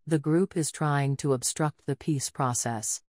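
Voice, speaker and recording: A woman's voice: deep voice, unhurried, a little mellow, no room sound, clean studio sound. A woman reading out scripted sentences as prompts in an English speaking test.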